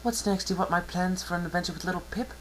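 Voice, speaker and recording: Man putting on a high, wailing pony princess. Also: very fast, slight background noise, natural voice, rough recording. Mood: upset, indignant. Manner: wailing, plaintive. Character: tearful, indignant younger sister pony princess.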